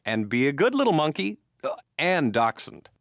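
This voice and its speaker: A man playing a kindly, slightly anxious caretaker in a children's cartoon, fussy and expressive. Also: fairly high pitch, normal speed. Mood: friendly, caring.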